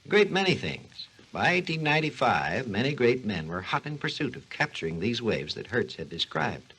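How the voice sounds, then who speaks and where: A learned, patient doctor of science on a vintage 1940s radio program. He lectures like a storyteller, with animated rises and falls. The voice has a slightly rough, unclear grain from the old recording. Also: medium pitch, normal speed, exaggerated voice, low-quality recording. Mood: storytelling. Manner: didactic, storytelling delivery. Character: learned, patient male scientist explaining invention history. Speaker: expert guest on a science program. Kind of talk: 1940s scripted educational radio dialogue.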